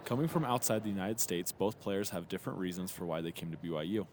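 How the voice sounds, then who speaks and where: Deep-voiced male reporter narrating. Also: fast talker, background noise. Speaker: sports reporter. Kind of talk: scripted news narration.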